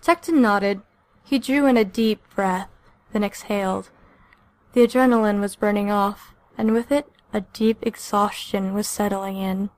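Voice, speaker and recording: A woman's voice: medium pitch, steady tone, measured pace, no room sound. A woman reading a scripted story as an audiobook narrator.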